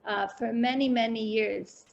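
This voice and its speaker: High-voiced woman, mixed British-American accent. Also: steady tone, unhurried, creaky. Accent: British-American English mix. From Israel. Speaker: guest art guide. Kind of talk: informal explanatory talk in an online session. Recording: dull lo-fi online call audio.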